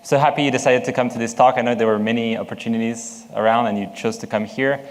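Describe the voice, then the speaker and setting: A man with a French accent speaks at a conference, his voice carrying a long reverb from the large hall around him. He pauses often on fillers as he works through his points and turns to the audience with questions. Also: mid-range voice, fairly even intonation. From France. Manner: hesitant, many fillers, engages the audience with questions. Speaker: conference speaker, product manager. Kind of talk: conference talk.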